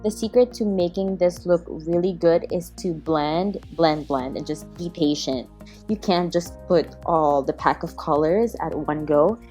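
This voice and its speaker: A woman's voice: on the low side, a little slow, dark tone. Chatty woman over background music. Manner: chatty, self-deprecating. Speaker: beauty vlogger. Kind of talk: casual makeup tutorial voice-over.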